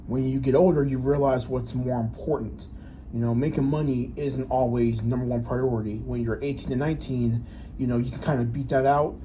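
Guy in his 30s vlogging, mellow tone, halting and thoughtful, lots of ums and restarts. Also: mid-range voice, slight background noise. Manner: halting, reflective, many restarts and fillers. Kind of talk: personal reflective vlog.

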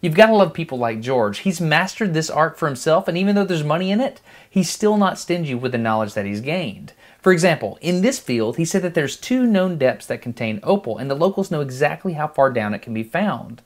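Lively narrating voice-over man. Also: fairly high pitch, brisk, few pauses. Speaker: vlogger narrating.

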